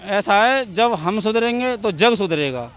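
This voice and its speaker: A man's voice: high voice, expressive, a little slow, fairly bright tone. A man giving his opinion in a street interview outdoors, speaking emphatically and repeating his points. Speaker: member of the public giving opinion.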